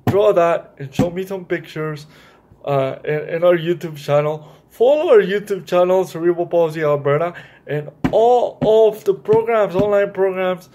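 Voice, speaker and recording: A man's voice: high-pitched, mellow, a little hiss or hum. A man hosting a children's story time, reading a picture book aloud and explaining it directly to young listeners.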